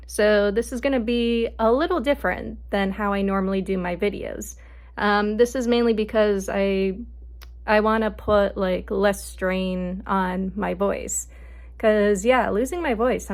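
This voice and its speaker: A young woman hosting her own sports podcast, casually reviewing a soccer match with many fillers, her voice still recovering from an illness. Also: medium pitch. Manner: casual, filler-heavy delivery, reads lineups quickly. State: recovering from voice loss.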